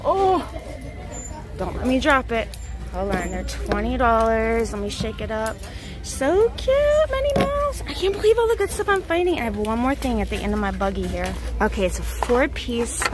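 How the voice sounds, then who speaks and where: Woman vlogger, higher voice, bursts of excitement, phone camera in a store. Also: over music. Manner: gushing, exclamatory, quick bursts of excitement. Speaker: shopping vlogger. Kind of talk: excited shopping haul vlog. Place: parked car, then indoor retail store. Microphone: handheld phone camera.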